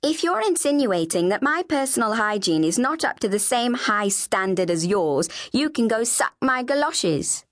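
A woman's voice: fairly high pitch, very animated, moderate pace, hardly any pauses, voice pushed up. A woman voicing a precocious, indignant eleven-year-old girl in an audiobook, with precise, haughty, wordy speech. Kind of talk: audiobook character dialogue.

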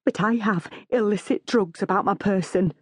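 A woman's voice: lowish voice, animated, a little fast, darkish tone, breathy edge. A woman voicing an edgy, melodramatic middle-aged woman for an audiobook, with an anguished, moaning manner. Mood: moaning confession. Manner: gulping, moaning, dramatic.

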